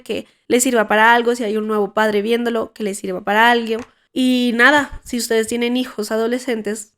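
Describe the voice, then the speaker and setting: A young woman with a Colombian accent hosting her podcast, hoarse from a cold and talking frankly with frequent restarts. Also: fairly high pitch. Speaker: podcast host. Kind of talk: casual podcast monologue.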